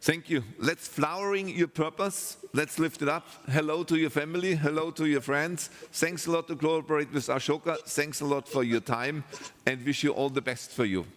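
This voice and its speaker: A man's voice: a little high, fairly even intonation, moderate pace, fairly bright tone, in a large hall. A man hosting a live stage interview, speaking in loose, upbeat phrasing full of thanks. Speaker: host.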